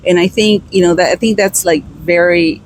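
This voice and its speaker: A woman's voice: lowish voice, fairly even intonation, moderate pace. A woman hosting a podcast, talking in a casual, affirming way with lots of fillers. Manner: affirming, conversational with many fillers. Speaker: podcast host. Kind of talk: casual interview chat. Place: outdoors on a beach.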